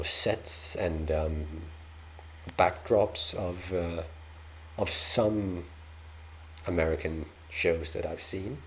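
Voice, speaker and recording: Slow, deep-voiced Danish man reacting. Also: a little hiss or hum. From Denmark. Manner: hesitant, many ums and restarts. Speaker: reaction video host. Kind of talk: casual reaction commentary.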